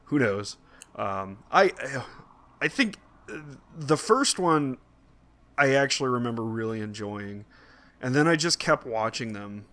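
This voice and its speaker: Mid-pitched man in casual chat. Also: animated, a little slow, pushed and bright. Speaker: podcast co-host.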